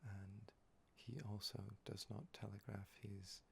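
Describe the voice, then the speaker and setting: Slow, gravelly, hoarse man half-whispering. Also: fairly low pitch, little pitch movement, slight background noise. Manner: rambling, halting, with many fillers. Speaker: ASMR creator. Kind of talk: soft-spoken ASMR talk, whispering.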